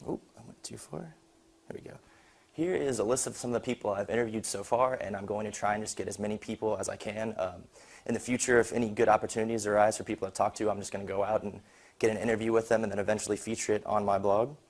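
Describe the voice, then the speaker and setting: A man's voice: fairly low pitch, steady tone, fast talker. A young man giving an informal student slide presentation in a casual, conversational way. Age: young adult.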